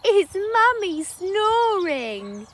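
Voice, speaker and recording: Female reader as young boy, storybook character, eager, pleading, high pitch, very lively intonation. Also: drawn-out words, exaggerated voice. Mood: curious.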